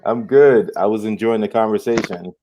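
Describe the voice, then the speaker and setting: A man in his late forties talking in a casual online chat in an easygoing, slightly halting way. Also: on the low side, noisy background. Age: late 40s.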